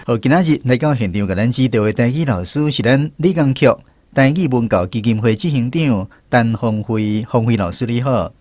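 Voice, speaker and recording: A man's voice: medium pitch, fairly quick, darkish tone, no room sound. A man with a Taiwanese accent hosting a language lesson segment on the radio. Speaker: radio host.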